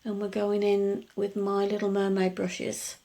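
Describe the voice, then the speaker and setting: A middle-aged woman talking through a nail art tutorial in a chatty, rambling way, her voice gruff from just waking up. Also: medium pitch, monotone, unhurried, mellow. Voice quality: gruff, gravelly. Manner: chatty, rambling explanations with many asides. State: just woken up. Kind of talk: casual tutorial voice-over.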